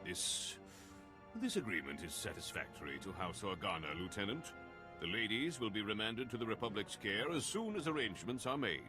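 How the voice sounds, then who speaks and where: A haughty nobleman from a video game. His low voice moves in big, animated swings with pompous formality, over prominent music. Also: normal speed. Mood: curt, formal. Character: haughty, indignant nobleman of a ruling house.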